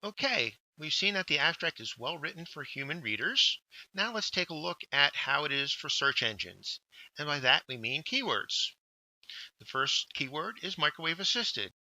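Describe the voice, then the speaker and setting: A man narrating a scripted instructional workshop, explaining step by step with deliberate emphasis on key words. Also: a little high, big pitch swings, bright, forceful tone, dry recording, poor audio. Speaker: workshop instructor, journal editor.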